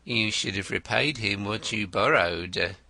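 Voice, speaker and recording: A man's voice: fairly low pitch, a little slow, vocal fry, pushed and bright, exaggerated voice. A man voicing a shrewd, wry male detective in an audiobook, with dry, teasing questions.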